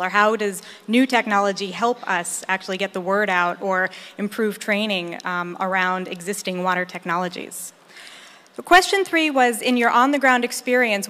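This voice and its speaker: A woman's voice: mid-range voice, fairly bright tone. A woman moderating a roundtable discussion, fluent and explanatory, encouraging the tables to respond. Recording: long hall reverb.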